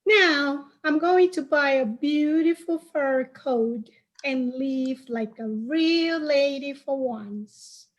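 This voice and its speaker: Woman acting a slow, high-voiced femme fatale. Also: muffled recording. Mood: breezy, dismissive. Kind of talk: campy 1940s noir radio play acting, live.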